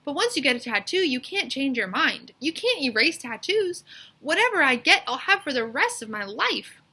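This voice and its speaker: A woman's voice: high-pitched, very animated, normal speed, sharp tone, pitched above the performer's own voice, full character voice. A woman voicing an indecisive, earnest schoolboy in a children's storybook, with an emphatic, griping manner. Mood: agonized, unsure. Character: indecisive, earnest schoolboy agonizing over a big choice.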